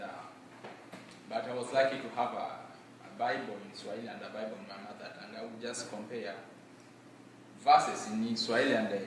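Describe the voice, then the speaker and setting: A man's voice: lowish voice, fairly bright tone, a little hiss or hum. A young man from Congo whose first language is Mashi, speaking earnestly about his life with many fillers. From Democratic Republic of Congo. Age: young adult. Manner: earnest, measured, with frequent fillers. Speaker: student presenter. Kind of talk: personal testimony and Q&A. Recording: echoey room, far mic.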